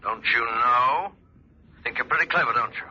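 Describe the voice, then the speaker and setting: Harsh, rasping telephone villain. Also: male voice, mid-range voice, moderate pace, sharp tone, muffled recording. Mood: bitter, threatening. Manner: accusing, sarcastic. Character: bitter, threatening doctor, a double-crossed hired killer. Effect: telephone filter. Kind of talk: 1940s radio drama acting. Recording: harsh telephone voice, old radio recording.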